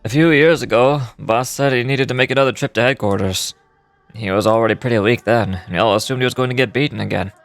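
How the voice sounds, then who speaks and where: A man voicing a grave, loyal desert gang member in a game, explaining things in a measured amateur read. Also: medium pitch, no echo, soft background music, lightly acted. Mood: somber. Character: grave, loyal male desert gang member recounting his boss's sacrifice. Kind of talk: amateur voice acting of game dialogue.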